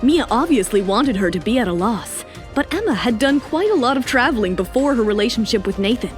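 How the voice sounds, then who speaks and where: A woman's voice: on the high side, animated, brisk. A woman reading scripted, dramatic narration for a serialized romance audio story. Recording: music under the voice.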